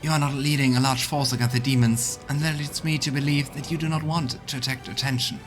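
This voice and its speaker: A solemn gold dragon in a healer's guise , acted out by a man speaks in formal, measured phrases. The voice is middle-pitched and belongs to a fantasy game, with soft music underneath. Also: steady tone, close to an everyday voice. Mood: grave, earnest. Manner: formal, measured storybook speech.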